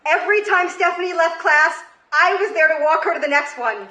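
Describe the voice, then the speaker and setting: A woman delivers a comedic personal story live, in a high-pitched, forceful voice. Her delivery is theatrical and deliberate, pausing to set up punchlines. The sound is roomy and live, as from a stage. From Queens, New York, United States. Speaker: comedy show host and storyteller. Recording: roomy live stage recording, faint noise. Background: audience ambience and faint noise.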